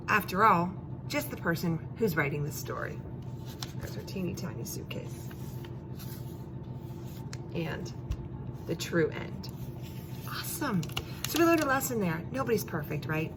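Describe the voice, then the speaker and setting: A woman teacher reading a children's story aloud, expressively, with drawn-out emphasis and questions and asides to her young pupils. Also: fairly low pitch, creaky, noisy background. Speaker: elementary school teacher.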